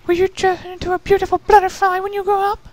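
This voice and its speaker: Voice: very high voice, little pitch movement, fast talker, dry recording, voice pushed up. A performer voicing a polite, refined and timid young female unicorn pony with a theatrical manner. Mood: sweet, polite.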